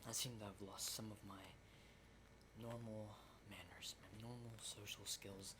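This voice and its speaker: Over clearly audible noise, a jittery, hardened male survivor of a zombie apocalypse played by a man speaks in a breathy, slightly low whisper. He is halting and insistent, repeating himself like someone out of practice with people, in an ASMR roleplay style. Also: steady tone, close to an everyday voice. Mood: awkward, relieved. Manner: halting, repetitive, insistent, often checking with "okay?". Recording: clearly noisy close whisper recording.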